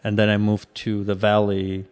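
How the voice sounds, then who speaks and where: Man, low voice, thoughtful. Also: monotone, moderate pace, darkish tone, clean tone. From Armenia. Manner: thoughtful, hesitant with fillers and restarts. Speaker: startup co-founder, guest. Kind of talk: conversational interview answers.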